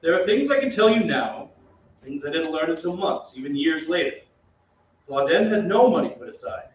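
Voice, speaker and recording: A man playing an earnest, reflective gentleman who narrates and speaks in a radio drama. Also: on the high side, close to an everyday voice. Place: theatre stage, live reading. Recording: echoey stage, distant mic, muffled, hiss.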